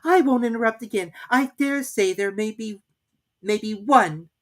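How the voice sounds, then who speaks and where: A woman's voice: on the high side, measured pace, voice pushed up, natural voice. A woman voicing a polite but easily offended young girl in a storybook, questioning in a careful, prim way. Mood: humble, flustered.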